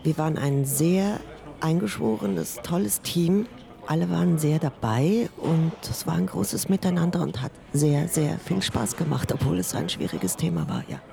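An actress playing a chatty, conciliatory dramaturge who talks in a casual, halting, tactful and slightly awkward way. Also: female voice, low-pitched, expressive, vocal fry.

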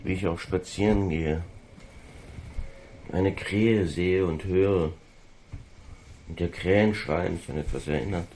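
A man's voice: sits low, takes it slowly, pauses often, darkish tone, slight background noise. A man delivering a reflective spoken radio essay, musing and rephrasing as he goes. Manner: musing, repeats and rephrases phrases. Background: music.

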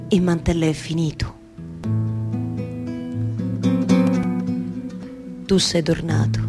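An actress playing a weary, talkative weaver wife in a theatrical monologue, speaking colloquially with a Roman accent and repeating phrases haltingly. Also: female voice, lowish voice, creaky. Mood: solemn, hushed. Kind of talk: theatrical monologue for radio theatre with live music.

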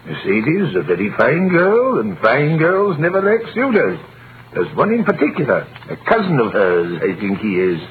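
An actor playing a nosy, teasing tailor neighbour full of sly hints, in 1930s radio drama style. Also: male voice, medium pitch, huge pitch swings, normal speed. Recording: tinny vintage radio, faint noise.

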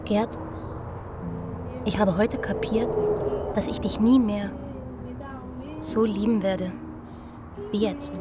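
A woman's voice: medium pitch, steady tone, takes it slowly, pauses often, heavy background noise, lightly acted. An actress playing a melancholy woman reading out a farewell love letter in a radio drama. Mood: sad, intimate.

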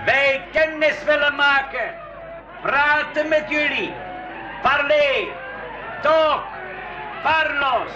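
An actress playing a friendly explorer calling out haltingly in broken pidgin in a school radio play. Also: female voice, high voice, crowd noise. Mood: friendly hailing. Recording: echoey, dull, noisy 1980s radio play.

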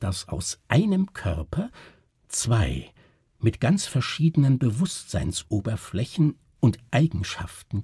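Casting: male reader as a shaken, world-weary man telling a grim mystery, low-pitched and widely swinging intonation, grave literary delivery. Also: mellow, breathy edge, no room sound, clean studio sound, full character voice. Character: weary, shaken man recounting an unsolved horror. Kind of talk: dramatic literary reading.

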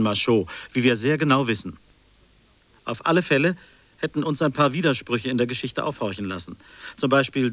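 Male actor as mocking interrogator, medium pitch, theatrical, accusing, superior, ironic, sharp emphasis, literary radio drama. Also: no echo, natural voice.

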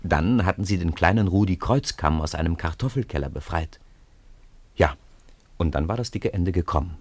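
Male storyteller, low voice, dry close sound, narrating children's radio drama. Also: moderate pace, husky, dry recording.

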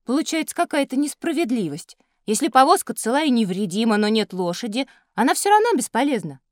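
A woman's voice: a little high, sing-song, fairly quick, no echo, studio quality. A woman voicing a sensible, clever schoolgirl in an educational audio drama for children. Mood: puzzled. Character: sensible, clever schoolgirl, gently lecturing her friend.

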